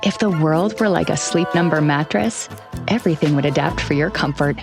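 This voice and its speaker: Female commercial narrator, notably low pitch, scripted read over a clear music bed. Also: a little fast. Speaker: advertising voice-over.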